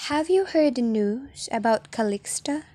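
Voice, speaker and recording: A woman's voice: on the high side, lively intonation, measured pace, warm tone, no room sound. A woman playing a hurt, jealous young fiancée in an amateur radio drama, speaking earnest, reproachful lines. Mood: indignant, disapproving.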